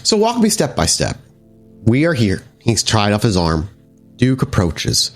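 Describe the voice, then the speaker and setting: A man narrating an improvised tabletop game as game master, descriptive and somewhat halting. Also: fairly low pitch, wildly swooping pitch, faint music behind.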